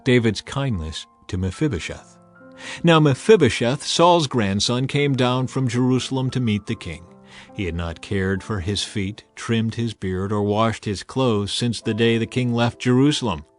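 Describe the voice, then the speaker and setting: A man's voice: mid-range voice, a little slow, soft background music. A man narrating scripture as a scripted, dramatized audio reading. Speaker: Bible narrator.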